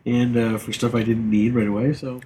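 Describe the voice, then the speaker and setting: A man's voice: mid-range voice, little pitch movement, a little fast, dark tone, slight background noise. Rambling man chatting on a podcast. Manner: rambling, many fillers and restarts, teasing. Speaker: podcast co-host. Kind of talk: casual gaming podcast chat.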